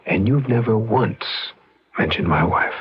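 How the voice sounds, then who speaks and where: Husky, animated married charmer, old radio. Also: male voice, lowish voice, measured pace, exaggerated voice. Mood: flirtatious. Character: skeptical, flirtatious married man, smooth-talking and uneasy. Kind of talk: old-time radio drama acting. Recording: dull old radio recording.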